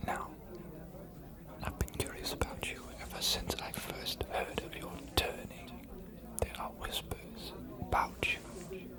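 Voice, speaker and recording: A man playing an ancient, aloof male vampire scholar in an ASMR roleplay, speaking in a formal, musing, courteous whisper. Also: high-pitched. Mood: courteous, intrigued. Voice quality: breathy whisper. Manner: measured, formal, musing, hesitant pauses.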